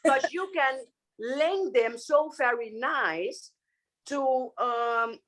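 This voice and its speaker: Fairly high-voiced woman, searching for words. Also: extremely slow, a bit bright. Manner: hesitant, searching for words, many restarts. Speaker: guest psychotherapist. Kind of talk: casual spiritual conversation.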